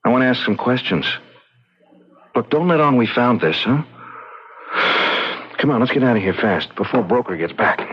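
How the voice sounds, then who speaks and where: A man's voice: mid-range voice, lively intonation, normal speed, long pauses, husky. An actor playing an alarmed, suspicious everyman in a radio mystery drama, speaking urgently and emphatically. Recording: dull lo-fi radio recording.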